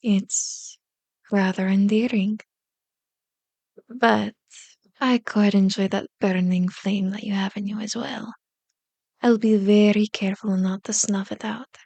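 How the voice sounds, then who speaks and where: Slow, condescending vampire seductress, acted by a woman. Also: medium pitch, steady tone, gravelly, dark tone, dry recording. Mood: soothing, coaxing. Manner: slow, commanding, mock-sweet taunting with whispered words. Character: powerful female vampire, seductive, condescending, manipulative captor. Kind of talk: ASMR roleplay.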